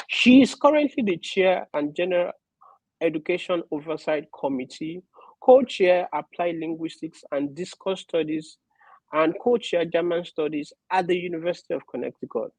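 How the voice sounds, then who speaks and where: A woman hosting an online conference, reading a formal, measured introduction of the keynote speaker over a video call. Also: lowish voice, darkish tone, slightly rough, no room sound, rough recording.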